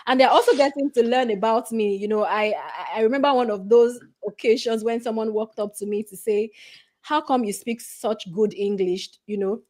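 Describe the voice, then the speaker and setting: A woman's voice: a little high, normal speed, darkish tone. Nigerian woman, earnest, "you know" fillers. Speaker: guest speaker. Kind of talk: conversational panel talk.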